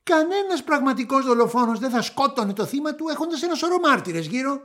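A woman's voice: sits high, lively intonation, a bit bright. An actress playing a condescending writing tutor in a radio drama, lecturing a student about his crime stories. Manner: patronizing, lecturing.

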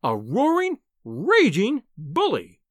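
Man acting a shrill, furious little scolding wife. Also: huge pitch swings, slow, dry recording, clean studio sound, full character voice. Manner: screaming, wailing, indignant tirades. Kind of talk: audiobook character acting.

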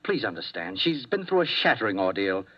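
An actor playing a nervous, fussy doctor in 1950s radio drama, speaking hesitantly and formally. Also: male voice, lowish voice, sing-song, brisk. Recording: muffled old radio broadcast.

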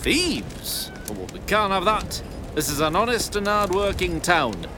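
Man playing a genial, pompous mayor, very animated. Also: sits high, measured pace, noisy background. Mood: cordial. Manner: cordial, blustery, folksy. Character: genial, pompous small-town mayor and landlord. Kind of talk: audio drama acting.

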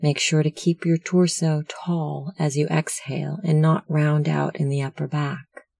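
A meditation instructor narrating a guided meditation in a measured, soothing, instructional manner. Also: mid-range voice, flat delivery, creaky, a little mellow, no echo, studio quality.

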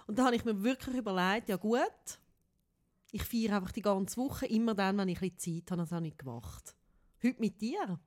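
A woman's voice: medium pitch, animated, moderate pace, a little mellow. A forty-year-old woman with a speech impediment on her R, chatting casually as a podcast co-host. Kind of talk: casual chat with a friend.

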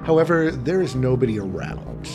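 A man talks casually as the host and game master of a podcast. His pitch is average, and guitar music plays clearly behind him. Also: very animated, moderate pace. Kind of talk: casual intermission announcement and game narration.

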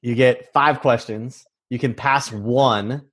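A man's voice: medium pitch, fairly even intonation, slow, fairly bright tone. A man hosting an interview in a casual, informal style, explaining and posing questions. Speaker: interview host. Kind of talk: casual hosting with sponsor read.

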